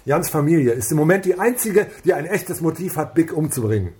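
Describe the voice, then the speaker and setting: Actor as a stern, higher-voiced police boss. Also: male voice, lively intonation, a little fast, a little mellow, soft background music, lightly acted. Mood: grave. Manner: authoritative, accusing, curt. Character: stern, suspicious male police superior. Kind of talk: radio crime drama acting.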